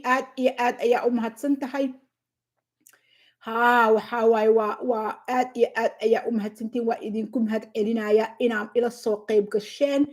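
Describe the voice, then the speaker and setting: A middle-aged woman from southern Somalia with a Somali accent, hosting a casual livestream, unhurried and halting with many fillers as she thanks viewers by name. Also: fairly high pitch, darkish tone. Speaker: livestream host.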